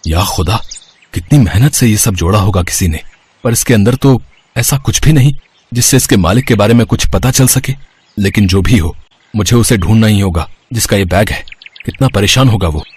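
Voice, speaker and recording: A man playing a humble, pious village father, tender and earnest, in an animated moral story. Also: on the low side, moderate pace, breathy edge. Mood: concerned.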